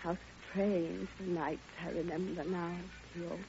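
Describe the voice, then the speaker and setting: A woman's voice: lowish voice, fairly even intonation, vocal fry, slight background noise. An actress playing a lonely, grieving, devoted woman in a theatrical, impassioned old-time radio monologue. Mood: mournful. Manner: theatrical, hushed, impassioned, drawn-out phrasing. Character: grieving, lonely, devoted woman recalling her beloved friend. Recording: dull old radio recording, loud music.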